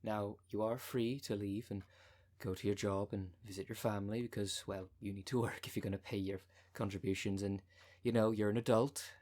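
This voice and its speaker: Guy voicing a smooth con woman cult leader, lowish voice, polished salesy pitch, asmr roleplay. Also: flat delivery, fairly quick, a little mellow, natural voice. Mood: businesslike sales pitch. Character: smooth-talking con-artist female minister of demon cult. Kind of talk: ASMR roleplay audio drama.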